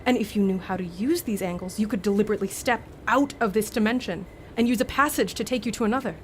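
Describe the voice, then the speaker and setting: An actress playing a haunted, anxious young student in a radio play, with a halting, uneasy delivery. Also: female voice, medium pitch, slight background noise, close to an everyday voice. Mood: uneasy musing. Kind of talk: dramatic radio play acting.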